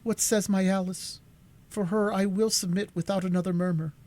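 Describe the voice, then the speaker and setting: A man's voice: high-pitched, steady tone, normal speed, mellow, voice pushed up, natural voice. A man voicing a proud young woman who speaks solemnly and earnestly in a raised voice. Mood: resolute. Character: proud, calm elder sister, young woman.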